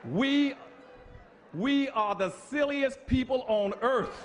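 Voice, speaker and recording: A man's voice: extremely high pitch, slow pace, slight background noise. A man giving an impassioned public lecture, emphatic and preacher-like, firing off rhetorical questions.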